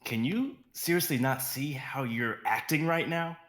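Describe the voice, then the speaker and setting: A man voicing a patient male assistant to a star, speaking calmly and gently in an amateur dramatic reading. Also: mid-range voice, a little slow, echoey room, natural voice. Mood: reproachful. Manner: calm, gentle, soothing. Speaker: actor in a live script reading.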